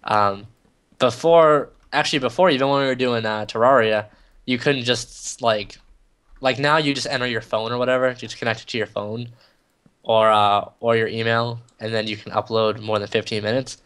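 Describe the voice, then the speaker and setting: A man's voice: medium pitch, steady tone, moderate pace, a bit bright, clear, resonant tone. A young man co-hosting a gaming video, telling a story in loose, filler-heavy casual chat. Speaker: gaming channel co-host. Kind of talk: casual chat over gameplay.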